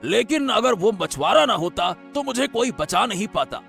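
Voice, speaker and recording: A man voicing a creaky, remorseful royal relative. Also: sits high, sing-song, normal speed, a bit bright, faint music behind, exaggerated voice. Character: remorseful, weary royal relative in a fairy tale. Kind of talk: animated Hindi moral-story dialogue.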